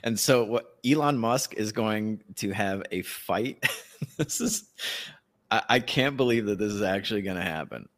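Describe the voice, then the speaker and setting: Male podcast co-host, lower register, opinionated and slangy, loose conversational delivery. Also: animated, a little slow. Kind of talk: casual news banter.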